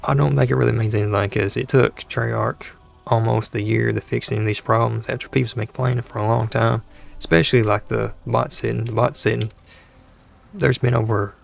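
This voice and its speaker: A man hosting a gaming channel, talking casually over gameplay with a dry throat and a hoarse voice, rambling and exasperated, often restarting his sentences. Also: medium pitch, fairly even intonation, brisk, soft background music. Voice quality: gravelly, creaky voice.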